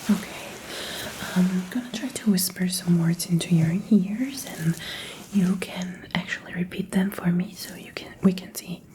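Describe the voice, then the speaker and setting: A woman whispering an ASMR medical roleplay as a doctor, gently and attentively giving instructions. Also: lowish voice, moderate pace, rough recording.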